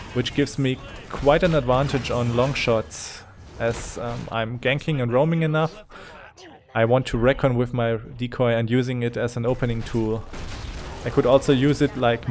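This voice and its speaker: A man's voice: mid-range voice, a little mellow, a little hiss or hum. A man with a German accent, a native German speaker, giving unscripted video game commentary in a hesitant, thinking-aloud way. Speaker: gaming commentator.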